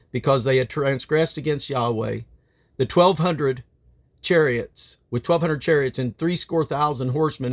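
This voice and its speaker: A man reading the Bible aloud as a reader, phrasing deliberately and now and then stumbling over names. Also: mid-range voice.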